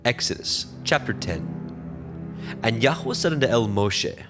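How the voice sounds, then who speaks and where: A man narrating scripture from a script in a measured, formal manner. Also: medium pitch, lively intonation, music in the background.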